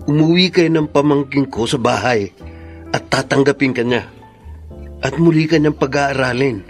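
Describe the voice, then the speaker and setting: An actor playing a protective, blunt older brother in a radio drama, speaking with a Filipino accent. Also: male voice, mid-range voice, moderate pace, soft background music, natural voice. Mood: persuasive.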